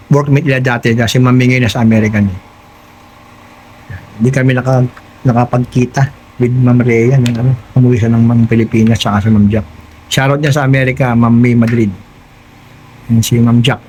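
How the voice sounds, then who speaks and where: A man's voice: medium pitch, little pitch movement, fairly quick, a little mellow, a little hiss or hum, rough recording. A Filipino man hosting a casual hands-on tutorial vlog, chatting directly to his viewers. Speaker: vlogger hosting an unboxing and installation.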